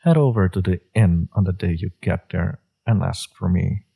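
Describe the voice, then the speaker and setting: A man playing a smug, seductive villain in a romantic audio roleplay, teasing and sure of himself, with a low, gravelly, husky voice. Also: warm tone, heavily acted. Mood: smug, flirtatious. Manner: teasing, self-assured, unhurried.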